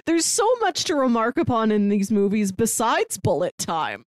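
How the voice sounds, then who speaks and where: A woman's voice: on the high side, very animated, moderate pace, no room sound, clean studio sound. A woman co-hosting a podcast, talking about films in a thoughtful, sincere way, with many fillers and restarts. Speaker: podcast co-host. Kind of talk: casual film discussion.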